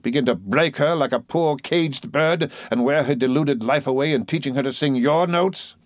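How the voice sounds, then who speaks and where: A man's voice: mid-range voice, normal speed, dry recording, voice pushed up, close to an everyday voice. A man voicing a fierce, blunt elderly aunt who scolds with indignation. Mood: scolding.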